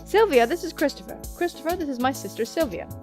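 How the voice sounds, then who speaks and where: A woman's voice: sits high, animated, fast talker, over music, pitched above the performer's own voice. A woman voicing a kindly, apologetic young male furry monster, a big brother in a visual novel game. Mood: polite, coaxing.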